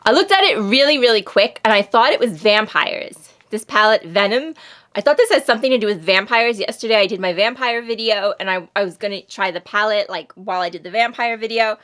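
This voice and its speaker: A woman's voice: medium pitch, lively intonation, fairly quick, fairly bright tone. A woman with a Long Island accent, rambling and self-deprecating as she chats through makeup reviews, a little sniffly from allergies. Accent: Long Island New York. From United States. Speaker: beauty vlogger. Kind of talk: casual makeup review chat.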